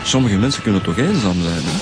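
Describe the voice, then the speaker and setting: An actor with a Flemish accent playing a sober, reflective male detective in a radio drama, measured and direct. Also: on the low side, big pitch swings, over music. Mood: stern, sober.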